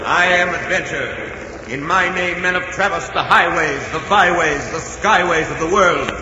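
Casting: man as theatrical spirit of adventure, highish voice, grand narration in long reverb. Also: normal speed, lo-fi sound, natural voice. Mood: grand, stirring. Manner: declamatory, solemn, theatrical. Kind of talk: 1940s radio dramatic narration. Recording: noisy old radio, long reverberant hall echo.

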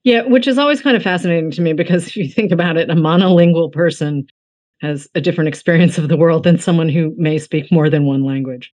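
A woman from the United States hosting a casual book interview, talking informally with animated, self-deprecating asides. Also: sits low, quick pace. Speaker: interviewer, host.

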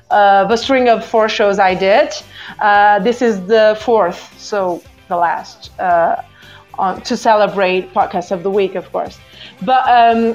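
Portuguese woman hosting a casual call-in, accent, slower halting talk, muffled lo-fi sound. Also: medium pitch. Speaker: host of a live call-in show. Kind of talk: casual live chat with listeners. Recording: dull lo-fi app audio with music. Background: music.

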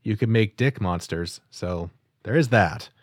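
A man's voice: on the low side, measured pace, no echo, clean studio sound. A man hosting a gaming podcast, chatting in an easygoing way and plugging the show. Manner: easygoing, chatty, many fillers. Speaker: podcast host. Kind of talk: casual podcast chat and outro.

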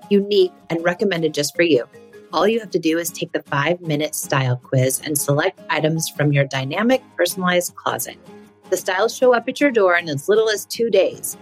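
A woman host reading a sponsor ad in a friendly, chatty way. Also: on the low side. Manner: friendly, chatty promotional delivery. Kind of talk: ad read.

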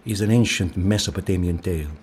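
An actor playing a resigned, courteous man in an audio drama, speaking in a measured, precise way. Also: sits low, a little mellow, breathy edge. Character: resigned, courteous man calmly fatalistic about his death.

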